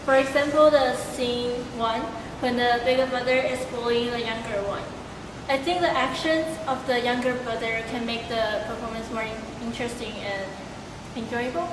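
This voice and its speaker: A woman's voice: on the high side, fairly even intonation, moderate pace. A young woman giving considered answers in an informal interview about her work. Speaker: student puppeteer being interviewed. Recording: echoey, distant, noisy room.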